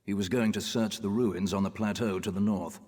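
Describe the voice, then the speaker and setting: An actor playing a haughty, disdainful temple priest in a video game, speaking formally with a solemn, condescending manner. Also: male voice, lowish voice, fairly even intonation, moderate pace, darkish tone, lightly acted. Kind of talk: video game character acting. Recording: reverberant hall, faint music behind.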